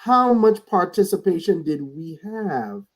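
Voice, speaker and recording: A man's voice: high voice, very animated, unhurried, poor audio. A man speaking as a guest in a reflective conversation over a video call, earnest, often repeating words as he starts a thought.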